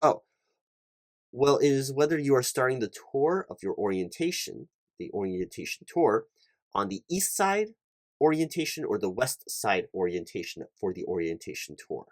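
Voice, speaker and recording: A man's voice: medium pitch, very animated, normal speed, dry recording, clean studio sound, close to an everyday voice. A man playing an officious, polite recruiting manager in a one-sided ASMR roleplay, talking in a wordy, hesitant way and repeating himself. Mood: pedantic, explanatory. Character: officious, rambling male corporate recruiting manager, polite and awkward.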